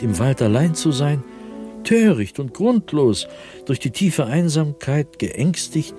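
A man playing an anguished, fearful, solitary diarist in a radio drama, speaking in a husky, brooding voice with sudden swells and hushed drops. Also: medium pitch. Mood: agitated, fearful. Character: anguished, fearful, solitary male diarist haunted by nightly dread. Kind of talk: German radio drama monologue, diary read dramatically.

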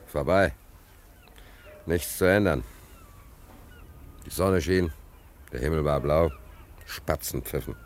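Ein Mann spielt in einem deutschen Hörspiel einen gestrandeten, ruhelosen Seemann, der nachdrücklich und abschweifend erzählt und sich oft wiederholt. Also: male voice, low-pitched. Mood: resigned, melancholy. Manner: emphatic, rambling, repeats himself, hesitant fillers. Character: stranded, restless seaman, rambling and philosophizing about his luck. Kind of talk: German radio play dialogue.

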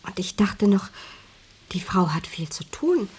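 Breathy, naive, devoted wife. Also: female voice, medium pitch, big pitch swings, measured pace, a little mellow, heavily acted. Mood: earnest. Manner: guileless, earnest, chatty. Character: naive, devoted factory worker's wife, stubbornly earnest. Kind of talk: German radio drama acting.

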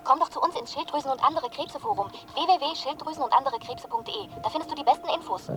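Alarmist forum member, quick, knowing, insistent, radio drama, music behind. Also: female voice, sits high, fairly bright tone. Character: pushy, know-all online cancer forum member, alarmist.

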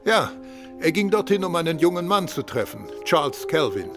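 An actor playing a brisk, authoritative senior police chief in a German radio play. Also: male voice, on the high side, big pitch swings, over music. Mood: matter-of-fact.

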